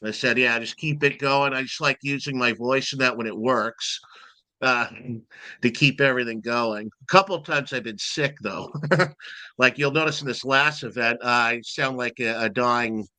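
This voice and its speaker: A man with a naturally loud voice talks at length as an interview guest, rambling with a lively up-and-down delivery. His tone is bright and forceful. The sound is dry and close, with no room echo. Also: mid-range voice, normal speed. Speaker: guest, event timekeeper. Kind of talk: casual interview chat.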